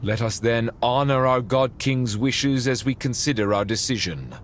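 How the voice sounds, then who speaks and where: Game role: male actor as unflappable ancient king, mid-pitched, dryly formal and smooth-tongued, slight rumble behind. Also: normal speed, natural voice. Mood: measured persuasion. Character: ancient undying king, wry, calculating and unflappable. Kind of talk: scripted video game cutscene acting.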